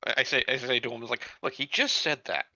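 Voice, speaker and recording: A man's voice: mid-range voice, quick pace, gravelly, sharp tone, no room sound, lo-fi sound. A man talking casually as a player in a tabletop roleplaying game.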